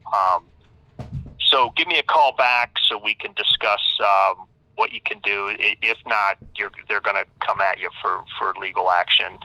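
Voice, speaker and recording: A man's voice: lowish voice, a little slow, bright, forceful tone, dry recording. A man leaving an informal voicemail as an attorney, stammering and repeating words, recorded over a telephone line. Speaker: public defender attorney.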